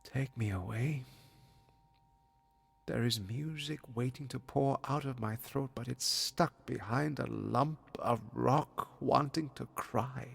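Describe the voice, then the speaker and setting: Moody closed-off pianist man, husky n creaky, takes his time, introspective audio drama thing. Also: medium pitch, slow pace, heavily acted. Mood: brooding, despairing. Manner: terse, guarded, introspective. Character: withdrawn, brooding male pianist in a strained romance. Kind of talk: naturalistic audio drama acting with inner monologue.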